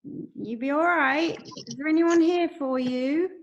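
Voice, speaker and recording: Actress, gentle tentative clown, high pitch, caring and easily touched, script reading. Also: female voice, a little slow, muffled recording. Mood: touched, concerned. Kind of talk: acted script table read. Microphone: video call.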